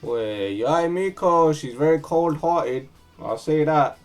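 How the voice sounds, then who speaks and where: Chatty man with a highish voice, streaming. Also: expressive, slow. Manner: informal, chatty. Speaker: game streamer. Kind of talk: casual gameplay commentary and chat. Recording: faint game music behind. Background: faint game music.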